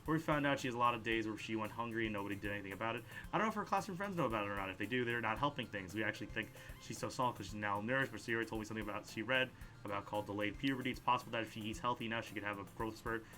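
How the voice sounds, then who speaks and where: Guy doing a game playthrough, reads lines out real fast, bright voice, trips on words a bit, music behind him. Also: on the low side. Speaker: let's play host. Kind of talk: video game playthrough, reading dialogue aloud with side comments.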